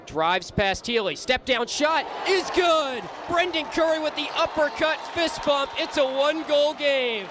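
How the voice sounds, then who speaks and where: Play-by-play man, young, high-pitched and excitable, live lacrosse radio call over a noisy stadium crowd. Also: unhurried, hardly any pauses. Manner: excitable, rises to shouts on big plays. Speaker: play-by-play announcer. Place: sports stadium during a live game. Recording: loud crowd noise behind commentary. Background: crowd and arena noise.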